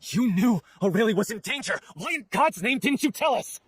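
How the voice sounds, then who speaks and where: An actor playing a hot-headed, grieving lovelorn stage performer, intense and melodramatic, in a video game. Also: male voice, high voice, lively intonation, normal speed, a little hiss or hum. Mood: furious. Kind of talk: dramatic video game acting.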